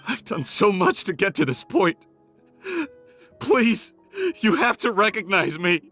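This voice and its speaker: A man's voice: sits high, expressive, unhurried, fairly bright tone, soft background music, full character voice. An actor playing a desperate young man in a game, stammering and sobbing as he pleads with his dying mother. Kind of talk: game cutscene voice acting.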